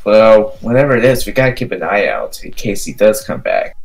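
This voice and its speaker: A man's voice: on the low side, big pitch swings, fairly quick, vocal fry, low-quality recording. A young man improvising a laid-back, easily distracted friend in a game roleplay, speaking casually and hesitantly. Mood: calm. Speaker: roleplayer in a gaming video.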